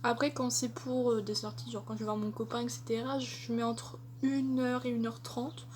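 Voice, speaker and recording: Chatty young woman, slightly high voice. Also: level tone, moderate pace, a little mellow, a little hiss or hum. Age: young adult. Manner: chatty, hesitant, many fillers and laughs. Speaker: vlogger. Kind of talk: casual Q&A tag video.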